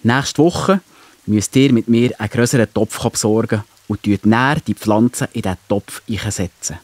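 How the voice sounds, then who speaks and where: Gentle father played by a man, dry sound. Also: fairly low pitch, normal speed, no echo, lightly acted. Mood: mildly stern. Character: gentle, fair-minded father of two children. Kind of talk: children's audio play acting.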